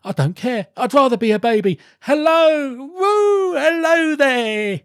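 Giddy old miser gone joyful, high husky voice, whooping and breathless, storybook style. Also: male voice, quick pace, fairly bright tone, no echo, clean studio sound. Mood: giddy, carefree. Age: older. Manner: exuberant, breathless exclamations and whoops. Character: elderly reformed miser, giddy with joy.

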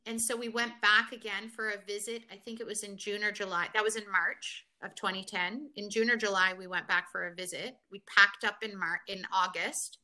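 A woman's voice: medium pitch, steady tone, moderate pace, hardly any pauses, pushed and bright. A woman from Kenya, a guest in a conversational interview, telling her story reflectively with many fillers.

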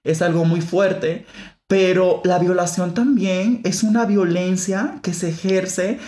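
A woman from the Dominican Republic with a Dominican accent, hosting a reflective discussion. She thinks out loud, restarts often and leans hard on key words. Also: fairly low pitch, slow pace, reverberant. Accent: Dominican Spanish. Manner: thinks aloud with frequent restarts and emphatic stress. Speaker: podcast host.

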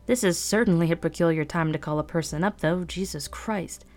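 A lady doing a curt woman picking up a late call, voice pushed up higher, sharp and suspicious, little soft music behind. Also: a little fast, close to an everyday voice. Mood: puzzled. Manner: sharp and suspicious, then sweetly friendly. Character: brusque adult woman woken by a late phone call. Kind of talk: audiobook character voice.

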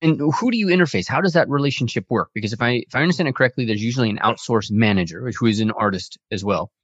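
A man's voice: mid-range voice, very fast, fairly bright tone, dry recording, muffled recording. A man hosting a podcast interview in an informal, chatty way with rambling asides. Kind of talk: conversational interview.

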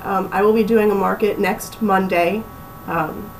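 A young woman from Pennsylvania, chatting casually as a small business owner in an interview, relaxed and full of ums. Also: medium pitch, measured pace, far from the mic, a little hiss or hum, low-quality recording. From Pennsylvania, USA. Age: young adult. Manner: relaxed, chatty, laughs easily, many ums.

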